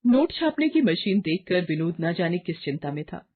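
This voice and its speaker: A woman's voice: on the low side, sing-song, moderate pace, husky. A woman narrating a moral story from a script, in a muffled recording.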